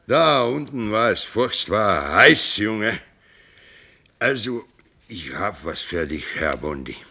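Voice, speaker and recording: An actor playing an old, jovial sea captain in a radio play, rambling and halting, peppering his talk with English words. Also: on the low side, lively intonation, creaky, bright, forceful tone, exaggerated voice. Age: older. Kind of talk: German radio play acting.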